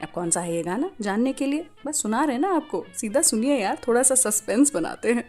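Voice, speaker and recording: Indian lady radio host, kinda high voice, chatty storytelling, mixes two languages as she goes. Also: expressive, brisk, darkish tone, soft background music. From Indore, India. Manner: conversational, switches between Hindi and English.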